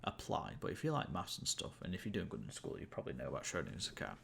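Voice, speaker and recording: Young male streamer, low gravelly voice, easygoing rambling chat, faint hiss on the mic. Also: huge pitch swings, quick pace, a bit bright. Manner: rambling, self-amused, many fillers and restarts. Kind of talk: casual gameplay chat to viewers.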